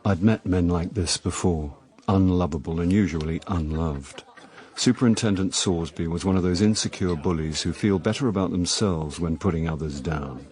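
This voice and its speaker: Male actor playing middle-aged actor-sleuth, very deep voice, wry, sardonic, radio mystery narrator. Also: moderate pace, darkish tone, natural voice. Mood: dry, wry. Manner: dry, sardonic. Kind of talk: radio drama, first-person narration and dialogue.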